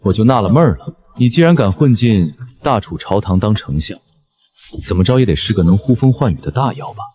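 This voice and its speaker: A man playing a brash, teasing young general in an animated period drama, mocking and theatrical in delivery. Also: fairly low pitch, fairly quick, lightly acted. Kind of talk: Chinese animated web drama voice acting.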